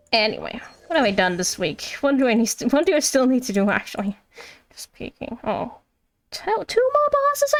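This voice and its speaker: A woman chatting casually on a game livestream, rambling and thinking aloud in a playful, giggly, self-deprecating way. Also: fairly high pitch.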